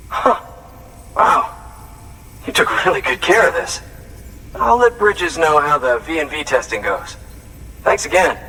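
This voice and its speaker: An actor playing an earnest, grateful shelter-born inventor in a video game, speaking in an emphatic, conversational way. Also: male voice, fairly high pitch, sharp tone, distant mic, full character voice, poor audio. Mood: grateful, impressed. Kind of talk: video game cutscene acting.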